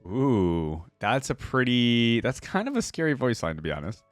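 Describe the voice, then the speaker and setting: A man's voice: medium pitch, big pitch swings, normal speed, dry recording, soft background music. A man giving casual, informal reaction commentary on a video game, talking directly to viewers.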